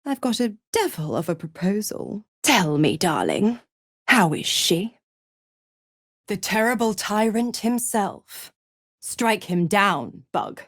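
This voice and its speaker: An actress voicing a seductive, gloating devil patron in a video game, with a silky, condescending, theatrical manner of cruel teasing. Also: female voice, mid-range voice, sing-song, slow, fairly bright tone, no room sound, clean studio sound, exaggerated voice. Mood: commanding, teasing. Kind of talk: video game character voice acting.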